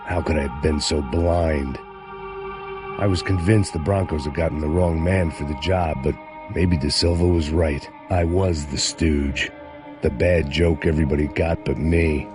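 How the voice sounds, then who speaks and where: Bitter hardboiled ex-cop: very low, gravelly, tired, with music bed. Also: male voice, steady tone, measured pace. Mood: brooding. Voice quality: low, gravelly, world-weary. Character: weary, bitter male ex-cop, middle-aged. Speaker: lead character and narrator. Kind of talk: hardboiled game narration. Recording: music under the voice.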